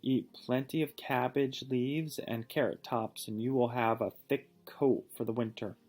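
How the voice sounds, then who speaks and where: A man reading a storybook aloud and pausing to ask questions in a patient, encouraging manner. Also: medium pitch, a little mellow. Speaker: reading teacher or parent reading aloud.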